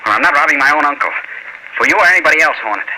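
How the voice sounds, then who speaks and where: A man's voice: a little high, animated, racing, bright, forceful tone. A man playing a hot-tempered, cornered young soldier impostor in an old-time radio drama, speaking in curt, defiant lines. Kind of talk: 1940s radio acting. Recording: noisy old radio recording.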